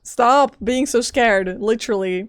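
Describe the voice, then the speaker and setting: A woman's voice: fairly high pitch, measured pace, faint music behind. A woman giving casual, chatty live commentary as a game streamer, full of offhand asides.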